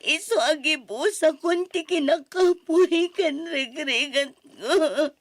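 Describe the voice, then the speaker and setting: A woman's voice: high-pitched, slow pace, slight background noise. A woman acting an anguished, despairing mother in an Ilocano radio drama, tearful and pleading. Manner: tearful, pleading, emotional delivery.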